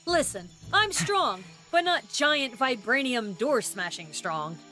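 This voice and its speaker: A woman playing a confident, blunt, down-to-earth superheroine in an animated game. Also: on the high side, slow pace, faint music behind, exaggerated voice. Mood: frank. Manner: blunt, casual.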